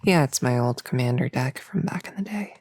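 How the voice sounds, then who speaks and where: A woman playing a friendly, slightly bumbling novice witch in a soft-spoken ASMR roleplay, breathy and often whispering, with a hesitant, casual way of talking. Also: extremely low pitch, expressive, brisk, a little hiss or hum. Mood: musing, casual. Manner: hesitant, casual, self-correcting.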